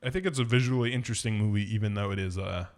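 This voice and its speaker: A man's voice: fairly low pitch, very fast. A man co-hosting a podcast, giving film opinions in an informal, slangy and sometimes sweary way. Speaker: podcast co-host. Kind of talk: casual movie review chat.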